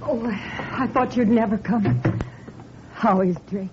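An actress playing a devoted, anxious wife in an old-time radio drama, hesitant and earnest. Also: female voice, on the high side, measured pace, close to an everyday voice. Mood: anxious, brave. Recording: muffled, hissy vintage radio recording.

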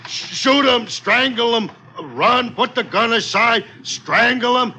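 An actor in an old radio drama playing a dull-witted, obedient sailor, halting and unsure. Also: male voice, extremely high pitch, sharp tone, slight background noise, heavily acted. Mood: flustered. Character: slow, hesitant, obedient sailor, reluctant henchman.

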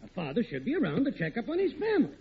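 Whiny high-pitched working-class guy, old radio. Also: wildly swooping pitch, racing, creaky, crowd noise, full character voice. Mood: whiny, complaining. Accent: American. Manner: complaining, slangy, ungrammatical. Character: whiny, suspicious working-class co-worker and husband. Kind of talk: old radio sitcom acting. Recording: thin, narrow vintage radio recording.

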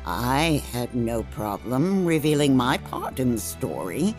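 A woman playing a sassy old hag who narrates a folksy audio drama and likes to bicker, with a drawn-out delivery. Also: rumbling low, very animated, a little mellow, soft background music, full character voice. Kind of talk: folksy theatrical storytelling narration.

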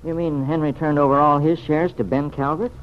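A man's voice: a little high, fairly even intonation, brisk, lightly acted. An actor playing a plainspoken, loyal younger man with a blunt, folksy manner in an old-time radio serial. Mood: matter-of-fact. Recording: muffled old radio recording, faint noise.

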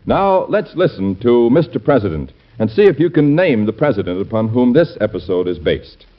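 A man's voice: medium pitch, very animated, moderate pace. A man announcing in a formal, earnest and emphatic 1940s radio style. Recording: dull vintage radio recording.

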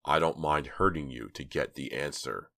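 A man voicing a big, cold, menacing male killer in a storybook reading, speaking low and slow. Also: creaky, a bit bright, no echo, clean studio sound, exaggerated voice.

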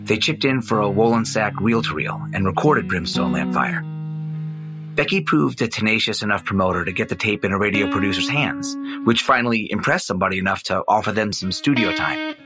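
An actor playing a narrator who chases an old music legend, telling it in measured, folksy scripted narration. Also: male voice, on the low side, animated. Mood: wry, reflective.

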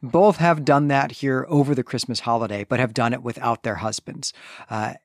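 A man's voice: medium pitch, fairly even intonation, moderate pace, dry recording, studio quality. A man hosting a conversational book discussion, explaining his reasoning at length in an expository way. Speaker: podcast host. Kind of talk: conversational literary recap and discussion.

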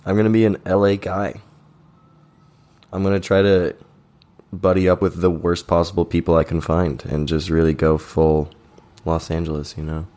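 A man's voice: low-pitched, flat delivery, normal speed, long pauses, mellow. A young man co-hosting a podcast, telling festival stories in a laid-back, joking way, his voice a bit strained. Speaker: podcast co-host. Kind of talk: casual chat.

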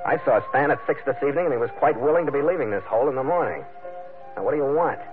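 An actor playing a terse, wry sea captain in a 1940s-style radio adventure, both narrating and speaking in scenes. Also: male voice, mid-range voice, animated, racing, natural voice. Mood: sardonic, challenging. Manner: terse, sardonic, tough-guy delivery. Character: hard-boiled, wry sea captain telling his adventure. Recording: narrow vintage radio recording, music behind.

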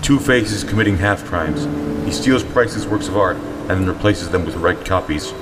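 An actor playing a stern, terse, confident superhero in an audio drama. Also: male voice, low-pitched, normal speed, heavy background noise, close to an everyday voice. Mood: calm, deductive. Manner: terse, deadpan, resolute. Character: stern, brooding male superhero, calm and confident. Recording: long reverb, music behind.

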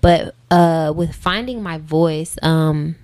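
An American woman with a slightly low voice talks in a casual interview. She is informal and reflective, working out her answers aloud as she goes. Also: slow, darkish tone. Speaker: interview guest, singer and rapper.

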